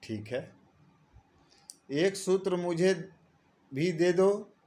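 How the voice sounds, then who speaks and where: A man voicing an impulsive, hot-tempered rich merchant husband in a storybook tale, speaking deliberately in short phrases. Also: sits high, mellow, voice pushed up. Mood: agreeable. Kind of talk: storybook character lines read by narrator.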